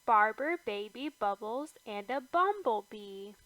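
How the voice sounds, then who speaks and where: A woman reads an alphabet picture book aloud for toddlers, her high voice rising on each capital letter and sinking to a near hush on the repeats. She takes everything very slowly, with lots of space between words. The sound is close and dry, with no room around it. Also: lively intonation, no echo, poor audio. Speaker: children's book reader. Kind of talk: slow read-aloud for toddlers.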